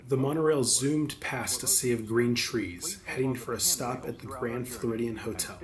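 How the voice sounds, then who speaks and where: A man's voice: medium pitch, moderate pace, a crowd in the background, poor audio. A man reading scripted audiobook narration as the narrator.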